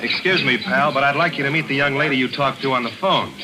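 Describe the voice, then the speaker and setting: An actor playing a wisecracking reporter who also narrates, in a 1940s radio drama, wry and sardonic. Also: male voice, medium pitch, music in the background, lightly acted, poor audio. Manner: wry, sardonic, fast-talking.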